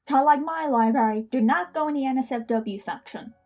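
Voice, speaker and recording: A woman reacts casually to an audio drama, chatting informally as host. Her voice sits a little high, and soft music plays behind her. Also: brisk. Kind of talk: casual running commentary. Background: audio drama with music playing.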